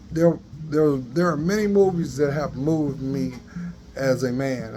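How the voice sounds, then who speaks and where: A man in his fifties with a dry voice, giving a slow, earnest motivational talk into a phone in a garage. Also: mid-range voice. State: dry voice, coughing. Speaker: vlogger. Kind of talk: motivational selfie vlog. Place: open garage, daytime. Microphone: phone at arm's length.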